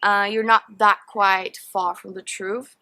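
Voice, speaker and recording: A woman's voice: mid-range voice, little pitch movement, slow pace, pushed and bright, no echo. A young woman from Poland, whose first language is Polish, chatting openly to her viewers in a casual Q&A recorded outdoors in a garden, with many fillers. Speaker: vlogger. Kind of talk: casual Q&A chat to camera.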